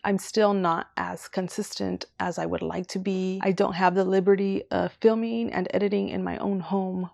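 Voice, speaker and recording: A woman's voice: medium pitch, creaky, a little mellow, no echo, clean studio sound. A young woman from Southern California chatting casually on camera about her reading channel, rambling and going off on tangents. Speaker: booktuber. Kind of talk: casual vlog talk.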